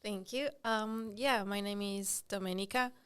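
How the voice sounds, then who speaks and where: A woman's voice: mid-range voice, fairly even intonation, a little slow, a bit bright, clear, resonant tone. A woman from Ecuador, a native Spanish speaker with an Ecuadorian accent, talking casually as a podcast guest. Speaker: digital marketer, podcast guest. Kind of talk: casual interview talk.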